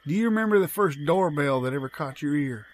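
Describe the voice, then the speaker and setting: A man's voice: fairly high pitch, animated, quick pace, a little hiss or hum, natural voice. An American man playing an eager, earnest folksy storyteller who swaps tall tales matter-of-factly in improvised audio comedy. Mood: earnest reminiscing.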